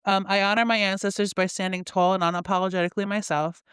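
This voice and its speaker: A woman's voice: mid-range voice, little pitch movement, fast, fairly bright tone, smooth, dry recording, studio quality. A woman hosting a casual solo podcast from her bed. She reads affirmations aloud in a chatty, self-mocking way and laughs at her own slips. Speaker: podcast host.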